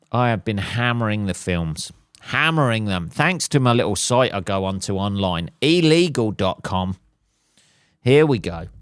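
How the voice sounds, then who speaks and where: A man hosting his own podcast, rambling casually and crudely with lots of swearing. His voice is a little hoarse. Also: low voice, no room sound, clean studio sound. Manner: crude, swears a lot, jokey. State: slightly hoarse. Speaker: podcast host. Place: at home.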